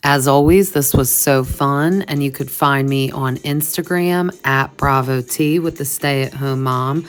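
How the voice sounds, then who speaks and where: A woman hosting a casual gossip podcast, supportive and quick to agree with her guest. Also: very deep voice, clean tone. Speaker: podcast host.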